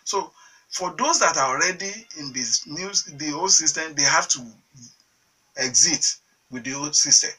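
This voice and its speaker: A man's voice: fairly high pitch, normal speed, pushed and bright, breathy edge, recorded from a distance, rough recording. A Nigerian man, a teachers' association chairman, answering earnestly in a TV interview with many restarts and fillers.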